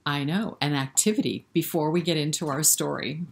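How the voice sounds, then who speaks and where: A woman's voice: low voice, fairly quick, gravelly. A woman hosting a story time for young children, speaking directly to them with questions and encouragement. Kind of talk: interactive read-aloud for young children, with singing.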